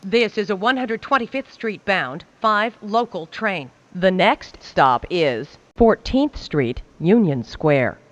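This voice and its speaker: Female, subway announcer, slow pace, varied intonation, scripted, carefully enunciated phrases. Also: mid-range voice, expressive. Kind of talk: scripted automated transit announcements.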